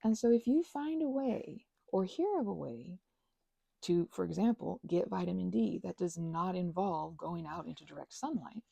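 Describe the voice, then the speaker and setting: A woman in her thirties giving a casual, playful ASMR ramble with emphatic, slangy phrasing and a husky, breathy voice with vocal fry. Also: on the low side, animated, moderate pace, warm tone, no room sound. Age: 30s. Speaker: ASMR channel host.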